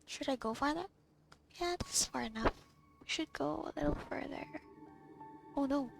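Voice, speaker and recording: A woman's voice: sits high, normal speed, creaky. A woman gamer doing casual gameplay commentary, musing to herself and reading choices aloud. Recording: game music behind voice.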